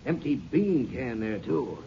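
Animated American sheriff in noisy old radio. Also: male voice, mid-range voice, unhurried, lo-fi sound. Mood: doubtful. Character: folksy, skeptical small-town male sheriff. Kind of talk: 1950s radio drama acting.

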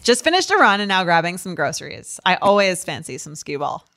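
A woman's voice: lowish voice, very animated, fairly quick, pushed and bright, exaggerated voice. A picky young Long Island single girl, put on by a woman for a comedic read. She sounds exaggerated and mock-incredulous, insisting she is easygoing in a Long Island accent. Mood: mock-incredulous, coy. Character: young New York single woman, picky, professedly adventurous.

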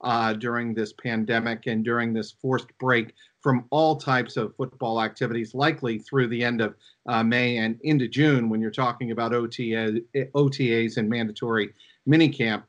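A man giving unscripted sports analysis as a reporter, in halting phrases with frequent pauses. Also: medium pitch, steady tone, highs cut off.

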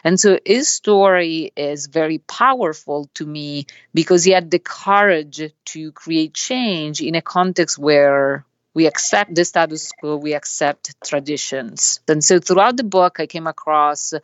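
A woman with an Italian accent, a business school professor explaining her ideas in measured, explanatory answers as a podcast guest. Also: low voice, dry recording, dull audio. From Italy.

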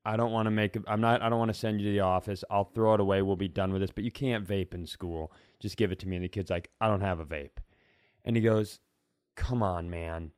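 A man's voice: on the low side, no room sound, clean studio sound. American man telling a casual comic story. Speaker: comedy podcast co-host.

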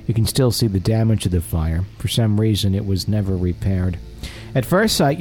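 A man with a low, mellow voice hosts a ghost-story podcast. He is conversational and agreeable, moving between casual chat and reading aloud. Music plays faintly behind him. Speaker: podcast host.

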